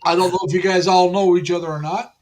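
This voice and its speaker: A chatty man runs his own livestream, welcoming guests and passing along viewer comments. His voice sits fairly high for a man, and he talks in loose, easygoing bursts. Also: steady tone, fast talker. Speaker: livestream host. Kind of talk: casual group chat on a livestream.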